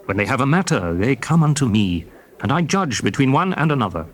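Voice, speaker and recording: An actor playing a grave, authoritative biblical leader and judge, speaking firmly and formally in scripture drama. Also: male voice, mid-range voice, big pitch swings, fairly quick, lightly acted. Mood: grave explaining. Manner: firm, formal explanation. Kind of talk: dramatized King James scripture acting.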